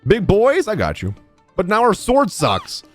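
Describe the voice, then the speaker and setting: A man's voice: high-pitched, huge pitch swings, a little slow, faint music behind. A man in his thirties doing casual, joking, slangy live commentary on a game into a close microphone. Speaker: streamer reacting to a game. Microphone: close desk microphone, headset on.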